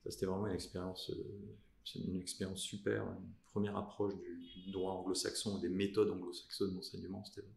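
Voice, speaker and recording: A man in his thirties from southern France, a lawyer telling the story of his career in a podcast interview, pausing often with fillers and restarts. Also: deep voice, flat delivery, creaky, darkish tone, echoey room, a little hiss or hum. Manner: halting, many fillers and restarts. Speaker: lawyer guest.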